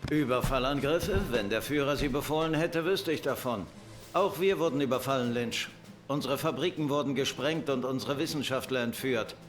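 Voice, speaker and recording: An actor playing a proud, self-assured German military officer in a war game cutscene. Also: male voice, medium pitch, normal speed, over music, lightly acted. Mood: indignant. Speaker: video game character in cutscenes. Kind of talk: dramatic game cutscene dialogue.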